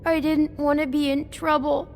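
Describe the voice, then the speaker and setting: A woman voicing a frightened, innocent little toad boy in a video game, halting and apologetic. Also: high voice, level tone, a little hiss or hum. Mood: anxious, guilty.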